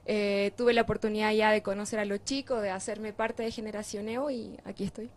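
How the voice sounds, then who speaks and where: A woman's voice: mid-range voice, fairly even intonation, brisk, a little hiss or hum. A young woman from Chile, answering interview questions in an earnest, reflective way with some fillers. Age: young adult. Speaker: interviewee, youth group member. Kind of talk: group interview.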